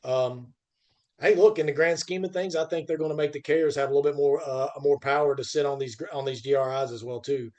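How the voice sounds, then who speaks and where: Higher-pitched man talking shop, halting and emphatic. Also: steady tone, a little mellow, dull audio. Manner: halting, repeats phrase starts; emphatic, asks and answers own questions. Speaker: podcast host and freight industry commentator. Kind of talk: unscripted industry discussion.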